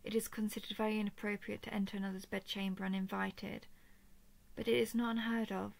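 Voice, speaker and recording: A royal dragoness played by a woman speaks closely to her listener in an intimate audio drama. Her mid-pitched voice has plenty of creak. She is softly playful, tender and protective, with slightly formal phrasing. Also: little pitch movement, fairly quick, lightly acted. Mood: earnest, cautioning. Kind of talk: intimate scripted audio roleplay addressed to listener.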